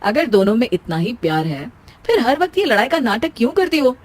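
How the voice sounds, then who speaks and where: An exasperated young husband from a family storybook comedy, voiced by a woman. The pitch is a bit high for the part. He scolds wryly, playing the fed-up peacemaker. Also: sing-song, fairly quick. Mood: reproachful.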